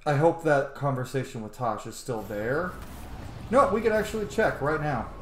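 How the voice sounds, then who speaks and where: A man's voice: medium pitch, animated, moderate pace. A man giving chatty, casual video game commentary, thinking aloud and swearing now and then. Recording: roomy echo, light background noise.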